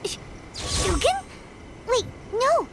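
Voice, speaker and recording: A woman voicing a tiny, excitable childlike fairy companion in a video game, with a squeaky, chatty, exclamatory delivery. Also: extremely slow, background noise, heavily acted. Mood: alarmed. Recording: game music behind the voice.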